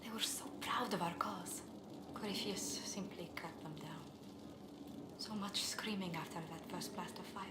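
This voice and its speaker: A woman's voice: on the low side, unhurried, very noisy, natural voice. Polite, refined female diplomat played by an actress, echoey. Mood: sorrowful. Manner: courteous, formal, elegant phrasing. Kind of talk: scripted video game dialogue.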